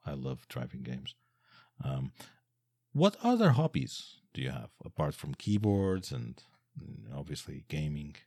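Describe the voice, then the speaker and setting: Interviewer: a man with a deep, husky voice and a non-native English accent. Rambling and eager. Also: wildly swooping pitch, a little slow, darkish tone, no room sound, studio quality. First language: not English. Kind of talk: interview conversation.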